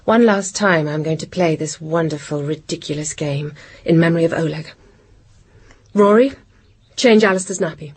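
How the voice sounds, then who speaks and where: An actress playing a brisk, self-possessed 1960s British housewife and mother in a radio drama. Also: sits low, breathy edge. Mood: calm resolve. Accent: British English.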